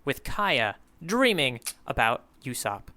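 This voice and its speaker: A man's voice: medium pitch, big pitch swings, slow, bright, forceful tone. A man co-hosting a podcast, recapping a manga chapter in casual chat, rambling with many fillers and easy laughs. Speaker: podcast co-host.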